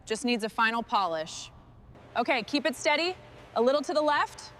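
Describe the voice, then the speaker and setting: A woman's voice: fairly high pitch, expressive, moderate pace, a bit bright, a little hiss or hum. A woman leading a workshop team, giving short, encouraging instructions and comments as the work goes. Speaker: team boss in a truck restoration workshop.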